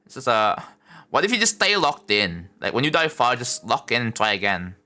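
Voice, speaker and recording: A man's voice: mid-range voice, big pitch swings, normal speed, sharp tone. A young man chatting casually on a live stream at home, rambling and laid-back, saying like and bro a lot. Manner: laid-back, rambling, many likes and bros. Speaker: livestreamer building a game level.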